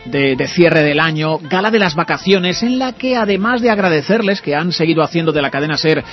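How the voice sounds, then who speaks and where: Male radio host, Spain accent, higher-pitched voice, rapid delivery, effusive, slightly dull sound with music behind. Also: animated. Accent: Spanish from Spain. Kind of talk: live radio interview, warm chat with guest. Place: radio studio.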